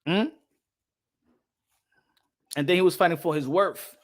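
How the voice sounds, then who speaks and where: A man's voice: fairly high pitch, a little slow. A man from the Dominican Republic hosting a boxing podcast, ranting heatedly on a live stream, reading chat, mimicking others and swearing. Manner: excitable, swears, mimics others, switches into Spanish.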